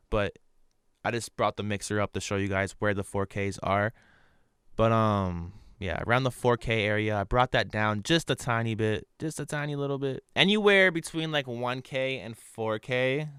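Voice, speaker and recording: A man with a noticeably nasal voice talks through a software tutorial in a loose, offhand way. His tone is very smooth and clean. It sounds close and dry, as if from a home studio mic. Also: on the low side, no room sound. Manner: relaxed, loose, with fillers and trailing asides. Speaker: audio tutorial host. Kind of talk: casual screen-recorded software tutorial. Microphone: studio condenser microphone.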